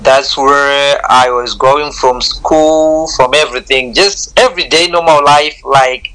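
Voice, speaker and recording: A man's voice: medium pitch, unhurried, sharp tone, clear, resonant tone, low-quality recording. A Zimbabwean man answering interview questions in a reflective, earnest way, often repeating words as he starts a thought. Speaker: guest, content creator.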